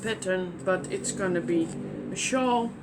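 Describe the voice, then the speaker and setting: A woman from the Netherlands with a Dutch accent, hosting a knitting podcast in casual show-and-tell chat and pausing often with fillers and restarts. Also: on the low side, noisy background. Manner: hesitant, many fillers and restarts.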